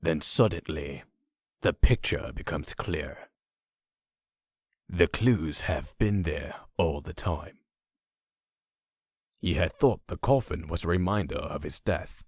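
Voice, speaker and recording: A man's voice: very deep voice, takes it slowly, creaky, long pauses, husky, dry recording, clean studio sound, exaggerated voice. A man performing as a grave, dramatic comic-book narrator, reading scripted narration. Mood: grave, suspenseful.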